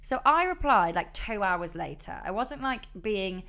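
A young woman co-hosting a podcast, telling her story in animated casual chat, full of likes. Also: medium pitch, a little slow. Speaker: podcast co-host.

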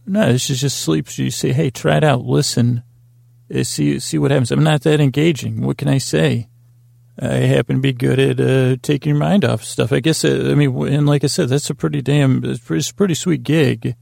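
A man hosts a sleep podcast in a deeply gravelly, soft-edged mellow voice. He rambles and halts, gently putting himself down as he goes. The sound is dry, with almost no room around him. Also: fairly even intonation, dry recording. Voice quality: gravelly, creaky. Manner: meandering, halting, self-deprecating. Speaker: podcast host. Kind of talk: rambling bedtime monologue to help listeners sleep.

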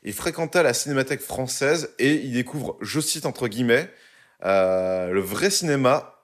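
A young man co-hosts a relaxed podcast. He tells his segment in a loose, joking way, full of hesitations and little asides. His voice sits at an everyday middle pitch. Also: moderate pace. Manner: jokey, loose delivery with many fillers. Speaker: podcast co-host presenting a segment. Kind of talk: casual chat.

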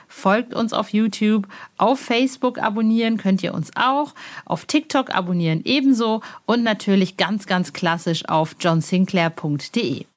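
A woman presenting a podcast in an informal, enthusiastic and chatty way. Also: mid-range voice. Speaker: podcast host.

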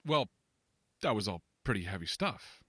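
A man acting in an audio drama as a probing, slightly impatient confidant with a measured, questioning, dry manner. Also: lowish voice, sing-song, bright, forceful tone, slightly rough, dry recording, full character voice. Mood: concerned.

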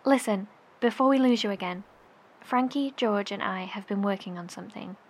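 An actress playing a friendly, concerned survivor at a bunker radio in a horror audio drama. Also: female voice, mid-range voice, normal speed, natural voice. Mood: concerned, relieved. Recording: faint noisy ambience.

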